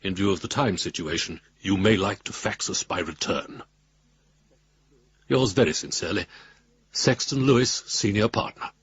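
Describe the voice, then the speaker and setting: An actor playing a pompous senior lawyer in a radio drama, reading a formal, wordy letter in a measured way. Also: male voice, fairly low pitch, husky, dry recording. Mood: smug, veiled threat. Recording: dull lo-fi radio recording.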